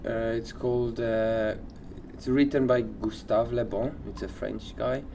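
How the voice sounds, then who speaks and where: A man co-hosting a podcast, casually sharing odd facts in halting, informal phrases. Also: mid-range voice, steady tone, creaky, mellow, a little hiss or hum. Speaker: podcast co-host.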